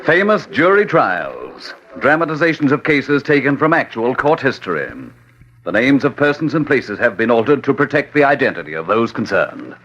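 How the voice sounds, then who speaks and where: A man's voice: mid-range voice, sing-song, normal speed, a bit bright. A man announcing an old radio drama in an emphatic, formal manner. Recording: dull vintage radio recording, faint noise.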